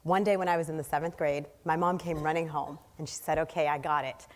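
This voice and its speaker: A woman's voice: low-pitched, steady tone, fast talker. A woman born in Haiti giving an earnest, storytelling keynote speech on stage, listing her points emphatically. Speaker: guest speaker. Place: large hall on stage. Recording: long hall reverb.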